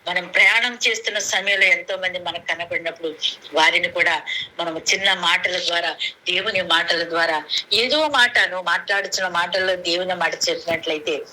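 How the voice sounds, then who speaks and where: A woman's voice: lowish voice, moderate pace, pushed and bright. A woman preaching earnestly to a prayer meeting over a conference call, repeating phrases for emphasis. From Andhra Pradesh, India. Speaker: lay preacher. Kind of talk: sermon, retelling scripture to a prayer meeting. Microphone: conference call phone. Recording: noisy conference call recording.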